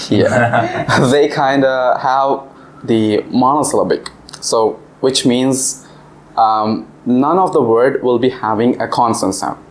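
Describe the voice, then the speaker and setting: A man leading a discussion with an English speaking club, asking the students questions. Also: mid-range voice. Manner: asks the class questions. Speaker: visiting English teacher. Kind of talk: classroom discussion.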